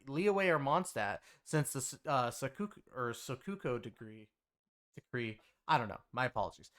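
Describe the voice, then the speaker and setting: Clear-voiced man in casual conversational review. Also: mid-range voice, a bit bright. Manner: rambling, conversational, frequent filler phrases like "you know". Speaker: game reviewer and content creator. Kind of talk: casual video game story review.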